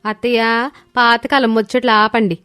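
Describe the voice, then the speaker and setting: A woman's voice: fairly high pitch, flat delivery, normal speed, bright, forceful tone, smooth, dry recording, voice pushed up. Woman playing haughty, whiny young daughter-in-law. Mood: boastful. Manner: boastful, dismissive, complaining. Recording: studio voice over background music.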